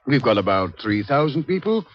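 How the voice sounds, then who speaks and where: A man's voice: mid-range voice, very animated, normal speed, close to an everyday voice. An actor playing a scrawny, eccentric male scientist who lectures wryly and theatrically in a 1950s radio drama. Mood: wry, explanatory. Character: scrawny, wry male scientist, eccentric professor foretelling doom. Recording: dull vintage 1950s radio recording.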